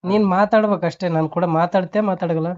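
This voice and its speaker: A man's voice: high-pitched, fairly even intonation, fast talker. A man with a North Karnataka accent on a phone call, needling and scolding as he repeats his questions in casual banter. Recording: noisy, band-limited phone call recording.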